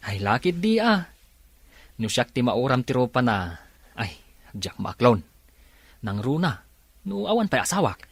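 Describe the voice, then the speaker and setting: A Filipino-accented man playing a nosy, blunt young friend in a radio drama, with a husky voice. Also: mid-range voice, very animated, measured pace, pauses often, dry recording, heavily acted.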